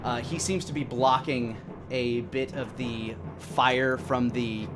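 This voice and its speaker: A man in his thirties narrating a tabletop game as game master, descriptive, with hesitant pauses. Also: medium pitch, fairly even intonation. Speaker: game master and stream host. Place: indoor room at home, live stream. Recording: music playing behind the voice. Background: background music.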